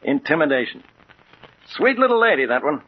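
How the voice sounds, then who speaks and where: Wry police captain, highish voice. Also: male voice, very animated. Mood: brisk. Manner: brisk, wry, doubtful. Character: skeptical, wry, hard-boiled police captain. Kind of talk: 1940s radio drama acting. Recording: dull, noisy 1940s radio recording.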